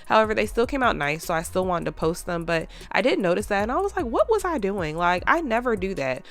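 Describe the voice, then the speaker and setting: A woman's voice: mid-range voice, big pitch swings, fairly quick. A woman with a stuffy, congested voice, chatting casually to viewers as a nail tech vlogger, with lots of fillers.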